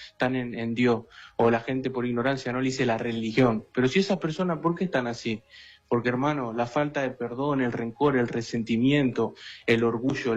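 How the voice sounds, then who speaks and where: A man, preaching and testifying earnestly, repeating phrases as he addresses the listener. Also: medium pitch. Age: 20s. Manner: earnest, repetitive, often addressing listener as brother. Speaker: guest lay preacher. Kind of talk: personal testimony and exhortation. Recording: dull lo-fi sound, faint music behind.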